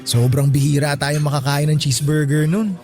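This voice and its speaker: An actor playing a teasing, devoted young best friend in a radio drama, with a playful, bantering delivery. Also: male voice, fairly high pitch, level tone, brisk, darkish tone, soft background music, natural voice. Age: young adult. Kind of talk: Tagalog radio drama acting.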